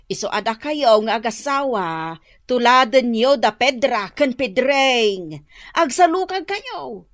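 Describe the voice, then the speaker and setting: A woman's voice: on the high side, takes it slowly, dry recording, clean studio sound, heavily acted. A woman playing a preachy, exasperated elderly mother in a radio drama, with an Ilocano accent and a reproachful manner. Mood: reproachful lecturing. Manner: expressive, reproachful.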